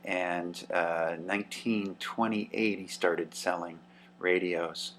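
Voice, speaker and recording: A man casually narrating a show-and-tell about a vintage radio he restored, relaxed and pausing often with "uh" and "um". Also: sits low, a little hiss or hum. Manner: relaxed, with frequent "uh" and "um".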